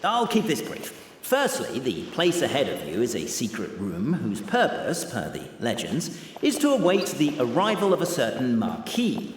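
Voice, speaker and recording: An actor playing a theatrical, self-satisfied storyteller, narrating a mock-epic game tale pompously with hesitant asides. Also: male voice, medium pitch, wildly swooping pitch, normal speed, a little hiss or hum. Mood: flustered, insistent. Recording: echoey hall reverb.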